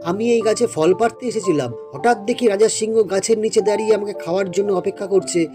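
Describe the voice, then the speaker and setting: Man as a high-voiced kindly cartoon villager. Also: fast, music in the background, close to an everyday voice. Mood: grateful. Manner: earnest, friendly. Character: kindly, grateful village man, goat's loyal friend. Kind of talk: children's animated folk tale acting.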